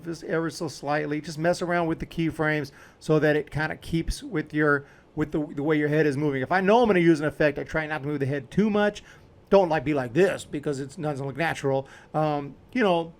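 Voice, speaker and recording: A man hosting a video editing tutorial, talking casually and informally as he explains each step while clicking along. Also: fairly high pitch.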